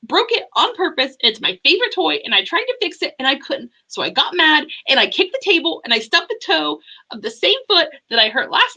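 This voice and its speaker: A woman's voice: extremely high pitch, bright, forceful tone, highs cut off, pitched above the performer's own voice, heavily acted. A woman voicing an upset little boy who is crying and rattles off his complaints in a whiny rush. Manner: rushed, whiny run-on complaining.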